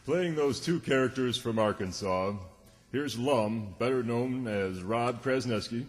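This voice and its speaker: A man's voice: mid-range voice, measured pace, soft background music. A man announcing credits genially from a script in old-time radio style to a live audience. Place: township hall stage. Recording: echoey hall, clear background noise.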